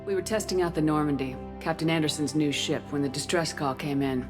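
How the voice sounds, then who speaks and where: A woman playing a tough, composed military commander, narrating seriously and in measured phrases for a video game. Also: low voice, natural voice. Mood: grave. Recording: music behind.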